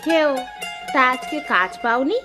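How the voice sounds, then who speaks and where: The part is a cartoon village mother, played by a woman, practical and homely. Her voice sits a little high and she talks in a calm, encouraging way. Soft plucked mandolin music runs underneath. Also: measured pace. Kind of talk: cartoon voice acting.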